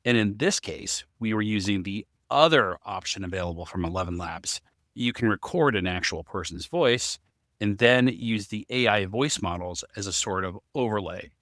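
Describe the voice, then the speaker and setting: A man's voice: fairly low pitch, normal speed, a bit bright, no echo, studio quality. A man explaining things conversationally as a podcast co-host.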